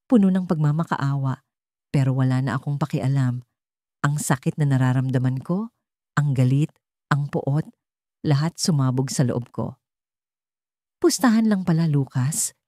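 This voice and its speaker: A woman narrating a scripted first-person romance story in measured, dramatic short phrases. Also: rumbling low, dark tone, dry recording, studio quality.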